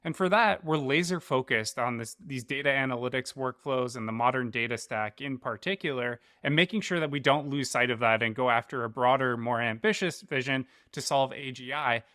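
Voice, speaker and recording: A man's voice: medium pitch, fairly quick, hardly any pauses, pushed and bright, no echo, clean studio sound. A man giving explanatory answers as a tech co-founder in an interview.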